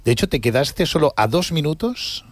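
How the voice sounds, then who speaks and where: A man's voice: on the high side, lively intonation, normal speed, no room sound. A male host interviewing a guest, recapping facts and affirming often with short agreements. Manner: warm, affirming, recaps facts, many "claro". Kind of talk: radio-style interview.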